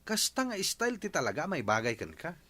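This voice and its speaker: A woman playing a meddling, flattering cousin in a radio comedy drama, coaxing in an animated way. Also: sits low, brisk, dry recording, full character voice.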